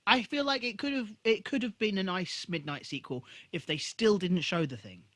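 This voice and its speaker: A man co-hosting a podcast, chatting casually about TV in an animated, emphatic way with plenty of swearing. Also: high voice, moderate pace, dry recording. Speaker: podcast co-host. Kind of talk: casual TV episode discussion.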